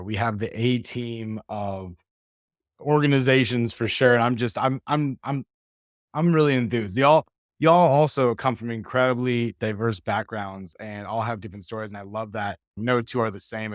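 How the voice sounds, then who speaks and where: A man's voice: lowish voice, normal speed, no echo, studio quality. A man hosting a podcast interview, enthusiastic and encouraging toward his guests. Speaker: podcast host.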